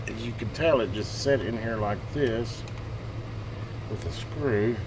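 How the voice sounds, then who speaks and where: A man's voice: medium pitch, measured pace. A man giving casual hands-on commentary, thinking aloud in a folksy, rambling way as he reviews electronics. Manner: folksy, rambling, talks to himself. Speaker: host of an electronics review channel. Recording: clear steady background noise.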